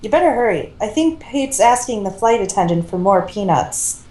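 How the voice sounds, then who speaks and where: A woman with a mellow, mid-pitched voice reads a fan-written story aloud. She handles both narration and dialogue. The amateur recording is noticeably roomy and echoey. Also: animated, normal speed. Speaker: fan fiction reader.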